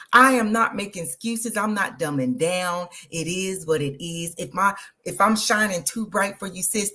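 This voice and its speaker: A woman, hosting an energetic, motivational live stream and talking straight to her viewers. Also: medium pitch. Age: 50s. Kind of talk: live social media stream.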